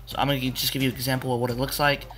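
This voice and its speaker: A young man with a hoarse, cracking voice, rambling casually through a video game walkthrough with many fillers. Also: mid-range voice, level tone, rapid-fire, fairly bright tone, faint music behind. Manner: rambling, repeats "you wanna" with fillers. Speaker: gaming tutorial maker. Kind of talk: casual screen-capture walkthrough.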